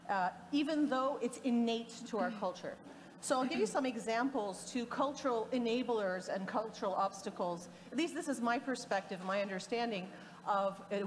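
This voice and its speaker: A woman in her forties from Egypt, speaking as a panelist in an explanatory way and tagging her points with "right?". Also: a little high. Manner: explanatory, gestures a lot, tags points with "right?". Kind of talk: panel discussion talk. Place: indoor conference panel stage. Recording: long hall reverb, faint noise.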